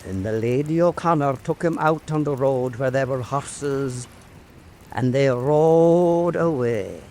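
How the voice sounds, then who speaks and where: A man telling a folk tale as scripted narration, in a measured and dramatic manner. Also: medium pitch, dark tone, slight background noise. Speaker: storyteller.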